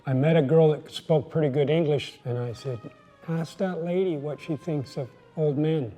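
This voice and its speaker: A man's voice: fairly high pitch, normal speed, dark tone. A man of seventy, answering interview questions bluntly and plainly. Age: 70s. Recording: long reverb, music underneath.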